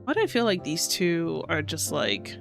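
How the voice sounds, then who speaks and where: A woman's voice: lowish voice, sing-song, normal speed, creaky. A woman streamer casually reacting to a video game, chatty and giggly. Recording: game music behind.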